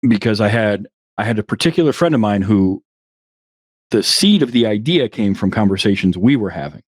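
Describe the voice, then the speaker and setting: A low-pitched middle-aged man chatting as a podcast guest in a dry, close recording. He wanders through his memories, restarting and circling back as he talks. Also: animated, brisk, slightly rough, no echo. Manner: reflective, rambling with restarts. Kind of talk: casual conversation.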